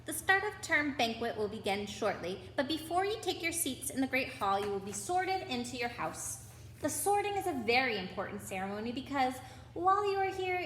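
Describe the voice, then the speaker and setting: A stern witch schoolmistress from a storybook, voiced by a woman reader, with a fairly high voice. She is formal and instructive, heard in an echoey room with slight noise. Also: a bit bright.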